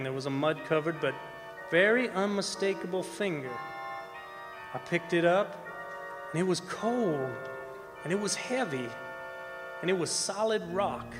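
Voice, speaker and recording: A man playing a folksy oil-field roughneck telling a horror tale in a radio play, in colloquial, halting speech. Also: a little high, close to an everyday voice. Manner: folksy, colloquial storytelling with hesitant repeats. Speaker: actor narrating a radio play. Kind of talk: radio horror drama performance, first-person narration. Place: performing arts center stage. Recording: echoey hall stage recording with music.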